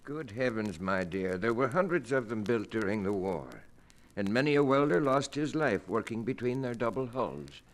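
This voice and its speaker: An actor playing a stuffy, lecturing gentleman in a 1950s radio mystery. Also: mid-range voice, animated, normal speed, close to an everyday voice. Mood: pompous.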